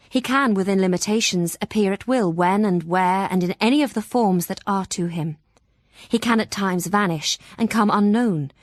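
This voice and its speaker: An actress playing an earnest young Victorian woman, narrating solemnly and deliberately in an audio drama. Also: mid-range voice, dry recording, clean studio sound, lightly acted.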